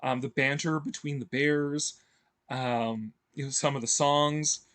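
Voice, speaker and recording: Male video host, mid pitch, loose unscripted delivery full of fillers and restarts. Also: steady tone, unhurried, a bit bright. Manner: rambling, heavy on fillers and restarts; chuckles often. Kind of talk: casual enthusiastic commentary.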